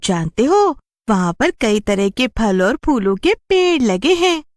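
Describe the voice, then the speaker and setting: A woman's voice: on the high side, sing-song, unhurried, dry recording, studio quality. A woman voicing a playful, adventurous young village woman with a bubbly, teasing manner. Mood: cheerful.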